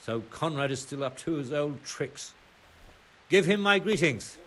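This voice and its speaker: An actor playing an irritable medieval scribe in a video game, blunt and grumbling. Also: male voice, a little high, animated, a little slow, a bit bright. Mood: grudging, businesslike. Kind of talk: video game cutscene acting.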